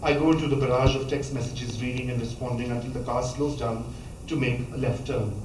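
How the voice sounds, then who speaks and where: A man's voice: mid-range voice, fairly even intonation, darkish tone, a little hiss or hum. Man reading in an echoey hall. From Pakistan. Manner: measured reading with small stumbles and restarts. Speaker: author reading from his book. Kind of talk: reading a memoir passage aloud. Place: college lecture hall. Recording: echoey hall, voice from a distance, faint ambience.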